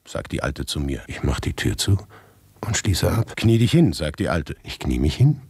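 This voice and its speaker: A man performing a first-person literary monologue for a radio play, intense and shifting between hushed and agitated delivery. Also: very deep voice, expressive, moderate pace, creaky, husky, dry recording.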